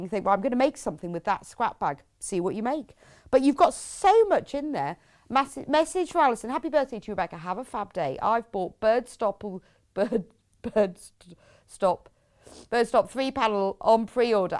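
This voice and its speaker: A middle-aged British woman presenting a live craft shopping show, chatting informally in a rambling way with lots of tag questions and reading out viewers' messages. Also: mid-range voice. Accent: British English.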